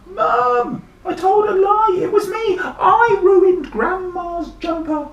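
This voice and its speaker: A man's voice: sits high, very animated, measured pace, reverberant, muffled recording, a little hiss or hum, pitched above the performer's own voice. A man voicing a troubled, stubborn young boy in a children's storybook, plaintive and hesitant. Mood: desperate confession. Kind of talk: children's storybook character reading.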